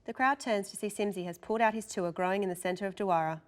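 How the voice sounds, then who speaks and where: A woman's voice: medium pitch, quick pace. A woman reading scripted narration and stage directions as narrator at a table read.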